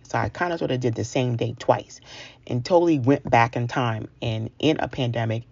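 A woman's voice: extremely low pitch, moderate pace, creaky, darkish tone, husky, dry recording, muffled recording. A woman of around forty from New York, telling a personal story in a candid, conversational, confiding way. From New York, United States. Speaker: featured storyteller.

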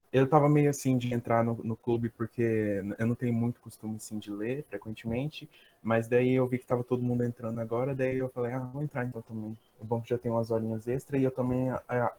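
A man's voice: mid-range voice, mellow. A young man with a Brazilian accent, a student introducing himself casually to a club. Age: young adult. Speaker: book club member, engineering student.

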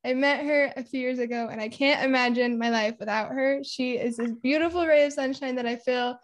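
High-voiced, effusive young woman host. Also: steady tone, fairly quick. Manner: warm, effusive, giggly. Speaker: podcast host. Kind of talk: casual interview chat.

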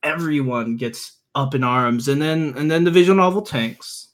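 A man's voice: a little high, normal speed. A young man appearing as a podcast guest, chatting casually in an informal, opinionated way. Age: young adult. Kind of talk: casual chat.

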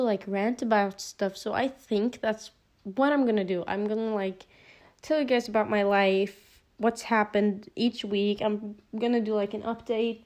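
A teenage girl with a Norwegian accent talks through her solo podcast in a loose, rambling way, full of "like" and "um" and little apologetic asides. Her voice is soft and mellow rather than bright, sitting at an ordinary pitch for her age. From Norway. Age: teen. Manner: rambling, filler-heavy, giggly asides. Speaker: podcast host.

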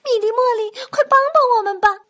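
A woman's voice: very high voice, lively intonation, drawn-out words, voice pushed up, full character voice. A woman voicing frightened little forest animals, pleading tearfully for help in a storybook.

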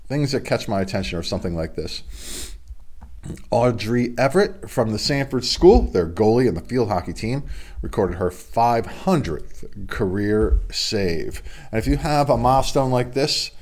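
A middle-aged man hosting a casual solo sports report from his home studio, his voice congested from a cold, chatty and rambling with self-deprecating asides. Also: fairly low pitch. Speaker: local sports podcast host. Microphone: video stream webcam setup.

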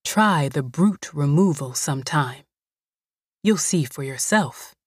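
A woman voicing a calm older woman who comforts a frightened girl in a low, soothing voice. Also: takes it slowly, husky, no room sound, studio quality, exaggerated voice.

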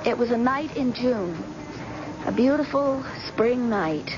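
An actress playing a grave, bitter, guarded grown daughter in old-time radio drama, speaking in a deliberate, solemn way. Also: female voice, a little high, expressive. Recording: dull, noisy old radio broadcast.

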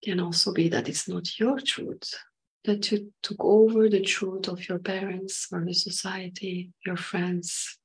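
A woman leading an unscripted guided meditation in short halting phrases, with a breathy voice that sometimes nears a whisper. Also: fairly low pitch, flat delivery, poor audio.

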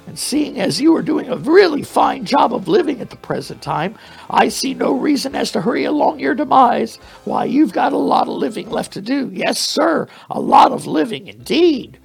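A man voicing a crafty, learned little male mouse who speaks in a squeaky, flowery, grovelling way. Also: big pitch swings, moderate pace, gravelly, husky, full character voice. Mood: pleading. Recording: faint music behind.

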